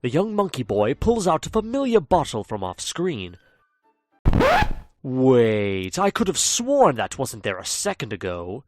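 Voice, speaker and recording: A man's voice: mid-range voice, huge pitch swings, a little slow, no room sound. Man, theatrical narrator, fourth-wall-breaking, faint music underneath. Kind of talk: theatrical fan audio drama narration.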